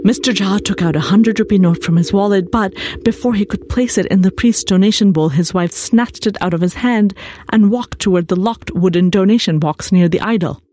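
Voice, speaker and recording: A woman's voice: mid-range voice, fairly quick, warm tone, slight background noise. A woman reading a literary short story aloud as its author, in a scripted narration style with composed music behind her. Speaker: author reading own fiction. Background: composed background music.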